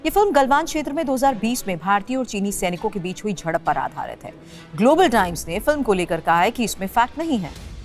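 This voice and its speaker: Higher-pitched female news presenter over music. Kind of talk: scripted news report.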